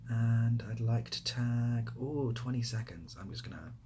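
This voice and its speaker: A young man with an English accent chatting softly in an ASMR style, hesitant and rambling, often dropping to a near-whisper. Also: mid-range voice, a little slow, slight background noise. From near Bath, England. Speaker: ASMR video creator. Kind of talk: softly spoken ASMR casual chat answering questions.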